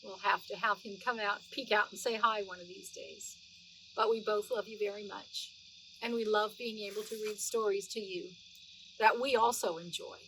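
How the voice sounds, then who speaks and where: A middle-aged woman reading a children's story aloud expressively, drawing out words and adding chatty asides and gentle questions to young listeners. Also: medium pitch, steady tone, normal speed, a little hiss or hum, rough recording. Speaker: host of a children's read-aloud show.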